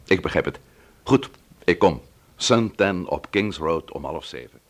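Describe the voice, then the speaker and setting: A man's voice: low voice, animated, unhurried, slight background noise. An actor playing a polite, flustered Englishman in a Dutch radio drama, speaking hesitantly and often repeating words. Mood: courteous, hesitant. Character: polite, flustered middle-class Englishman, bereaved brother.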